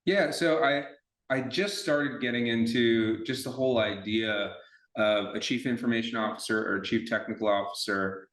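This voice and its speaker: A man's voice: mid-range voice, steady tone, moderate pace, fairly bright tone. A man hosting a podcast, asking long, casual questions in a conversational way. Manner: conversational, rambling questions with restarts. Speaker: podcast host. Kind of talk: casual interview. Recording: echoey room.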